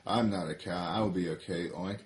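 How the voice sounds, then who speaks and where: A man's voice: low voice, fairly quick, natural voice. A man doing a small oinking cartoon pig. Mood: silly. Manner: oinking between words. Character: small excitable talking pig, giggly. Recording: roomy echo.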